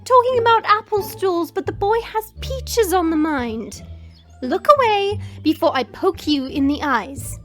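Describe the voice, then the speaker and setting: A woman playing a proud, teasing but caring fantasy woman in an audio drama, with a smug, drawn-out delivery. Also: very high-pitched, animated, faint music behind. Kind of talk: audio roleplay acting.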